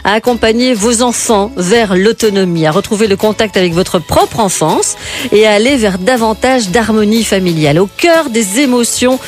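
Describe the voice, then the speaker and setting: A woman with a Belgian French accent hosting a radio interview in a studio, quick and lively, laughing easily. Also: medium pitch, soft background music. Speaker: radio host. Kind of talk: warm radio interview chat.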